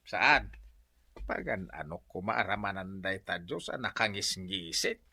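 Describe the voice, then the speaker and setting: A man's voice: sits low, lively intonation, unhurried, vocal fry, bright, forceful tone, no echo, clean studio sound, full character voice. A man with an Ilocano accent playing a stubborn, grumbling old husband in a comedy radio drama. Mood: puzzled, doubtful.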